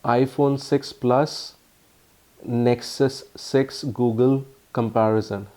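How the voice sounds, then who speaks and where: A man with an Indian accent giving a casual, halting phone review full of ums and uhs. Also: medium pitch, fairly even intonation, a little mellow. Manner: earnest, explanatory. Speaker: tech reviewer.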